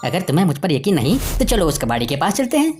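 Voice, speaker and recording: Lady doing a cocky farmhand dude for a cartoon, lowish voice, bouncy intonation. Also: very animated, fast talker. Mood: defensive. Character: cocky male farmhand sidekick turned petty thief. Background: music.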